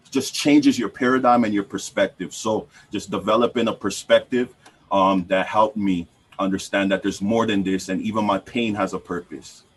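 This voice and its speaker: Male panelist, low husky voice, reflective and hesitant. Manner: reflective, faith-based, hesitant restarts. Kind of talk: webinar panel discussion.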